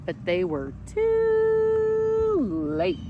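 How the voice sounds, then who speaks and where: Slow, animated woman reading to children. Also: very high voice, dull audio, heavy background noise. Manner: addresses children directly, playful and dramatic. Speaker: storytime host reading a picture book. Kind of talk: animated read-aloud for children with chatty asides.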